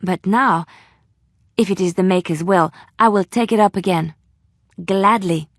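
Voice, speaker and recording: An earnest young woman of faith in a fantasy game. Her mid-pitched voice is gentle, polite and hopeful, recorded dry and close. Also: a little slow, no room sound. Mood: pleading, fervent. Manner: earnest, polite, softly pleading. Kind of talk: fantasy video game acting.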